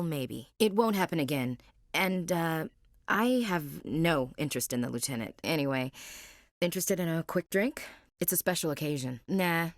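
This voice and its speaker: A woman's voice: low-pitched, no room sound. An actress playing a young female marine soldier in a game, blunt, dry and teasing. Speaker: soldier character. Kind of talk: game dialogue lines. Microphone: studio mic.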